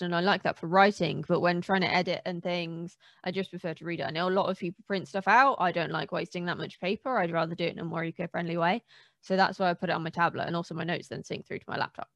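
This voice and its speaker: A woman's voice: on the low side, a bit bright, dry recording. A woman co-hosting a podcast in casual, conversational chat. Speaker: podcast co-host.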